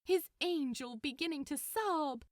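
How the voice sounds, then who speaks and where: Woman reading a story out loud, super animated, takes her time, really dry close recording. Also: high-pitched, a little slow, dry recording. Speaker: audiobook narrator of fan fiction. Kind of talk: expressive scripted story narration.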